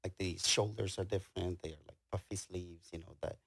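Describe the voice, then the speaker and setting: A middle-aged man with a Guatemalan accent, talking casually and haltingly as an interview guest, telling stories about himself. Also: sits low, steady tone, fairly bright tone, slightly rough, dry recording, studio quality. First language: Spanish. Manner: halting, hesitant delivery. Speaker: interview guest, tailor and business owner. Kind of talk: casual conversational storytelling.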